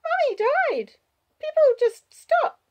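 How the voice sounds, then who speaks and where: An earnest little girl in a storybook, with a very high, childlike voice that swings up and down. She sounds innocent and anxious, confiding in whoever is near. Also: sing-song, slow pace, heavily acted.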